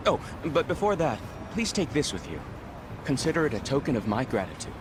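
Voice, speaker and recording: A man's voice: medium pitch, sing-song, brisk, background noise, natural voice. An actor playing a polite, weary male restaurant owner in a video game cutscene. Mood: grateful.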